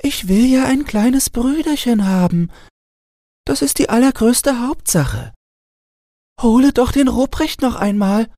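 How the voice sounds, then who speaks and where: A man's voice: extremely high pitch, expressive, a little slow, warm tone, no room sound, studio quality, pitched above the performer's own voice. A man voicing an eager, insistent little girl in a Christmas storybook, with a childlike, pleading, emphatic manner. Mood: urgent pleading.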